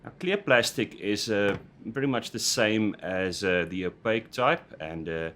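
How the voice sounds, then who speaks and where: A man hosting a scale model tutorial in voice-over, talking hesitantly with many "uh" fillers and restarts, his voice slightly croaky after an illness. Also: lowish voice, lively intonation, a little slow, fairly bright tone, slight background noise. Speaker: scale model building host. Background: background music.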